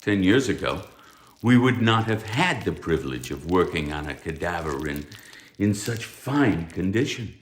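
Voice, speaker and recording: An actor playing a stern, pompous anatomy professor, speaking formally and like a lecturer in a theatrical read. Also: male voice, low voice, animated, normal speed, roomy sound, slight background noise. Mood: formal lecturing. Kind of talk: theatrical table read of a stage musical.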